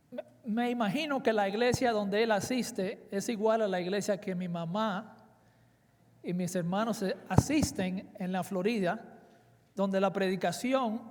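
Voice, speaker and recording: A man in his forties from the Dominican Republic with a Dominican Spanish accent, preaching earnestly at a conference and weaving in personal stories. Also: high voice. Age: 40s. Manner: earnest, emphatic, with personal anecdotes. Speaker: conference speaker. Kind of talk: sermon. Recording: reverberant hall.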